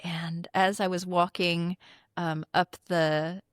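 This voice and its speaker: A middle-aged woman from the Philippines, a native Tagalog speaker, talks in an earnest, conversational way, often pausing on "um" and laughing lightly. Her voice sits fairly low. The sound is close and dry, with no room echo. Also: level tone. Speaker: guest. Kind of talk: conversational interview.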